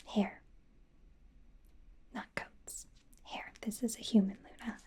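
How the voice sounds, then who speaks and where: A woman voicing a nervous, gentle female werewolf doctor in a soft, breathy whisper, hesitant and reassuring, for an ASMR exam roleplay. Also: mid-range voice, flat delivery, slow. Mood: careful, nervous. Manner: hesitant, self-correcting, giggly, reassuring.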